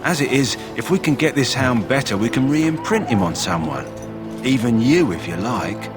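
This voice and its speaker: Caring houndsman for a fantasy game played by a man: mid pitch, expressive, earnest, practical. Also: normal speed, close to an everyday voice. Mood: kindly, practical. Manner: plain-spoken, concerned. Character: earnest, kindly male kennel master worried for his hounds. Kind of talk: video game dialogue acting. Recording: game music and dog sounds behind.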